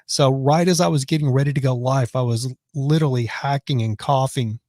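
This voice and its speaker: A man hosting a live stream from his office, reading viewer comments and rambling in casual chat, his voice raspy from choking on his dinner. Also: mid-range voice, steady tone, a little fast, darkish tone, dry recording, clean studio sound. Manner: rambling and chatty, many restarts.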